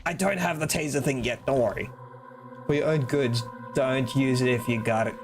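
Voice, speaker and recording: A man's voice: mid-range voice, normal speed, over music. Chatty male streamer rambling to chat. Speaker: game streamer. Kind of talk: casual live-stream chat while playing.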